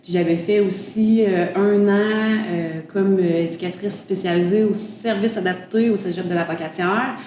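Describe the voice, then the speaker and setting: A young woman with a Québécois French accent chats frankly as a guest, sprinkling in hesitations. Her pitch is middling. Her voice carries a clear room echo. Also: normal speed, few pauses, a little hiss or hum. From Quebec, Canada. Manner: relaxed, frank, peppers speech with fillers. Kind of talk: casual conversation. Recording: roomy echo.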